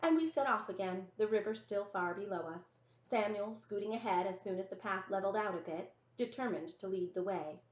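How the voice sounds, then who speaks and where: A woman narrates a children's novel in the first person at a medium pitch. The sound is roomy and echoey, a little dull and lo-fi. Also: a little fast, hardly any pauses. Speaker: story reader.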